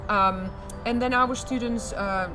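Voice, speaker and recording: A woman's voice: fairly high pitch, fairly even intonation, unhurried. A woman from the Netherlands with a Dutch accent, a school director talking casually outdoors with many fillers. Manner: chatty, laughs easily, many fillers. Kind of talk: casual interview.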